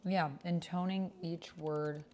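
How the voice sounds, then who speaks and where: Woman, lowish voice, casual stream chat, musing and self-questioning, keyboard clatter, background noise. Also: steady tone, slow, warm tone. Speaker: streamer playing a text-based roleplaying game. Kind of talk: casual livestream chat, thinking aloud while reading and typing.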